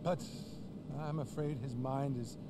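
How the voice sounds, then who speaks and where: An actor playing a pompous, theatrical middle-aged celebrity psychiatrist, a grandiose villain in a video game. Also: male voice, mid-range voice, steady tone, a little slow, heavy background noise, close to an everyday voice. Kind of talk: video game cutscene acting.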